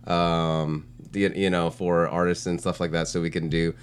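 A man's voice: sits low, flat delivery, normal speed, slight background noise. A man co-hosting a casual chat, talking in a rambling, slangy way with plenty of "like" and "you know". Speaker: podcast co-host, street art blogger.

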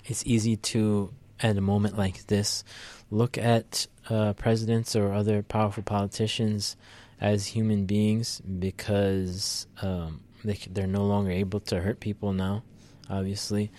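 A man's voice: fairly low pitch, level tone, a little slow, hardly any pauses, warm tone. A man co-hosting a casual political podcast, talking thoughtfully with lots of fillers and restarts. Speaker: podcast co-host.

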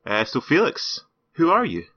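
A man's voice: fairly high pitch, huge pitch swings, normal speed, breathy edge. A man hosting a podcast in a casual, joking way, cursing now and then. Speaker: podcast host. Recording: slightly muffled podcast audio.

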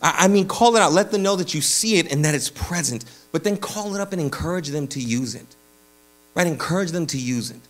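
A pastor preaching a sermon in a church, casual and energetic, with slang and frequent restarts. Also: male voice, a little high, fairly quick. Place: church sanctuary.

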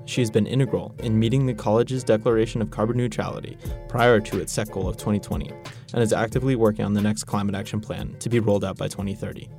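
Fast, gravelly young man reading an introduction. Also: fairly low pitch, steady tone, a little mellow, faint music behind. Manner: formal, listing credentials. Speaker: student interviewer, co-host.

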